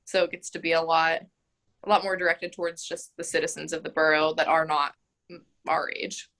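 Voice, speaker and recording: A woman's voice: deep voice, level tone, a little fast, a bit bright. A woman in her twenties, a mayoral candidate, answering interview questions in a chatty way full of "like" and "um.". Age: 20s. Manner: chatty, frequent "like" and "um", laughs easily.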